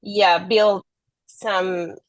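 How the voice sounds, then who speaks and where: A woman in her thirties from Peru, speaking English as an as a podcast guest, soft-spoken and hesitant with frequent ums and light laughs. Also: medium pitch, flat delivery, extremely slow, dry recording, studio quality. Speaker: guest athlete. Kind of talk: casual interview.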